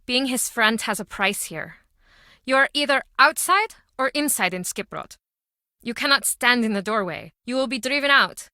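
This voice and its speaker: An actress playing a guarded Icelandic small-town pub landlady in a video game, speaking in measured, terse, matter-of-fact phrases. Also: on the high side, lively intonation, sharp tone, dry recording, clean studio sound. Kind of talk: video game character dialogue.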